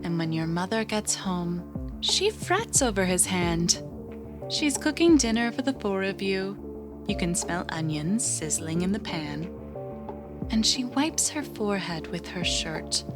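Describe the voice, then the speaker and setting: A woman playing an unflappable, eerie agency interviewer in an audio drama, measured and clinical as she probes. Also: medium pitch, over music. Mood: slow, hypnotic. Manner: measured, clinical, calmly probing.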